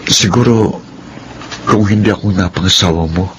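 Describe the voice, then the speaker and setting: A Filipino man acting in a radio drama as a humble, bashful scavenger newly married, gentle and earnest. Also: low-pitched, moderate pace, natural voice. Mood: humble, tender. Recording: noisy ambience behind the voice.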